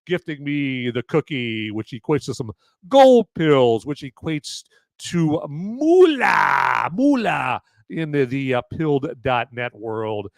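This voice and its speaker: A man hosting a live movie review podcast, with a loud voice that carries, who rambles casually with lots of fillers and restarts. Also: fairly high pitch, big pitch swings, takes it slowly, vocal fry, no echo.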